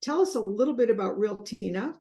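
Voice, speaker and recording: A woman hosting an interview, gently leading her guest and complimenting him. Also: mid-range voice, lively intonation, brisk. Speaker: interview host. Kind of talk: video interview.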